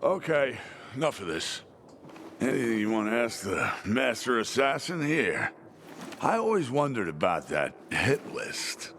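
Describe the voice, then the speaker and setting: A man's voice: medium pitch, sing-song, measured pace, fairly bright tone, slight background noise. An actor playing a playful young man in a video game, teasing his drinking friends. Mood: wry. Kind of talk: video game character acting.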